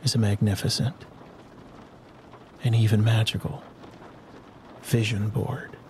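A man narrating a guided sleep hypnosis in a soothing, unhurried manner with long pauses. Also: fairly low pitch, flat delivery, noisy background. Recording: rain and thunderstorm sounds behind the voice. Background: rain and distant thunder ambience.

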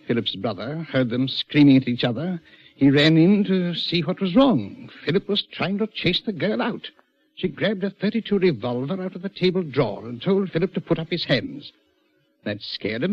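An agitated prison governor, husky voice. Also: male voice, fairly high pitch, expressive, moderate pace. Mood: grave, recounting. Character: troubled middle-aged British prison governor, defensive and uneasy. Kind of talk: 1940s radio acting. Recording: dull old 1940s radio recording.

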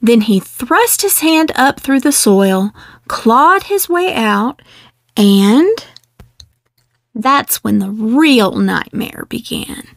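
A woman reading a picture book aloud in a measured, expressive storytelling delivery. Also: fairly high pitch, no room sound, studio quality. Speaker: children's book reader. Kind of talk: scripted storybook read-aloud.

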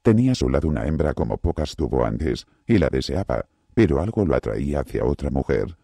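A man narrating a novel as an audiobook, with an expressive delivery. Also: brisk, no room sound, studio quality. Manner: very deep, gravelly and expressive. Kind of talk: scripted narration.

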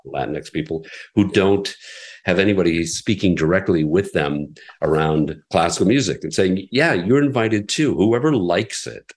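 Deep-voiced man explaining radio plainly. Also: normal speed. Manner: relaxed, explanatory, repeats words as he thinks. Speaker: radio station president, guest. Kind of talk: conversational interview answers.

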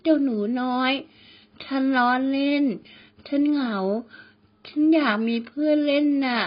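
A woman voicing an eerie, lonely young female tree spirit, with a shrill yet icy cold voice and a slow, coaxing, mocking way of speaking. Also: fairly even intonation, dull audio.

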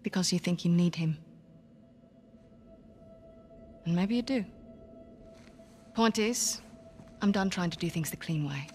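A tough female mercenary commander from a cinematic game , played by an actress. Her voice sits fairly low and she speaks in firm, impatient orders, with music underneath. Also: expressive, moderate pace, a little mellow, close to an everyday voice. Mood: cold, resolved. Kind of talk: cinematic video game acting. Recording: music bed behind dialogue.

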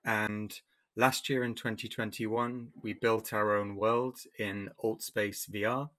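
A middle-aged man introducing himself and explaining his arts group on a video call panel, speaking through a headset in a plain, measured way. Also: lowish voice, little pitch movement, a bit bright. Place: home room.

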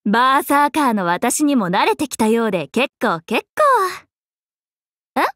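A woman voicing a playful, cheerful young swordswoman in an anime mobile game, breezy, expressive and teasing like a big sister. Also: a little high, measured pace, dry recording, clean studio sound. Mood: excited, then flustered. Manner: breezy, expressive, teasing, casual with sudden formal turns.

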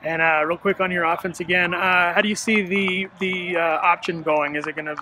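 A man's voice: high-pitched, steady tone, brisk, noisy background. An American man working as a sports interviewer, asking postgame questions outdoors on a football field.

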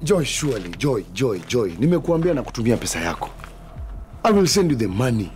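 A Kenyan man plays a flustered middle-aged husband in a midlife crisis, talking fast with a lively, bouncing pitch. He pleads and excuses himself in a TV comedy-drama, with a music bed underneath. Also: natural voice. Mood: pleading.

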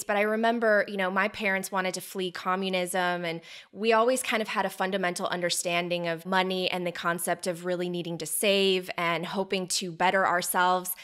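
A woman's voice: medium pitch, fairly bright tone, clear, resonant tone. A woman born in Poland and raised in the United States, hosting a podcast and asking curious, conversational questions. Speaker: podcast host. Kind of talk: interview questions.

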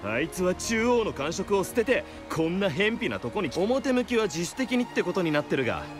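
A man's voice: sits high, big pitch swings, a little fast. A man voicing a blunt, condescending and suspicious male character in a Japanese video game. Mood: scornful. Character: scornful, suspicious man gossiping about a rival. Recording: loud music behind the voice.

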